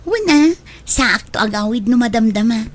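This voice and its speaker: A pampered young Ilocano daughter in a radio drama , acted by a woman. She has a high voice and talks in a stubborn, scheming, chummy way. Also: animated, moderate pace, noisy background, close to an everyday voice. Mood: stubborn, conspiring. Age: young adult.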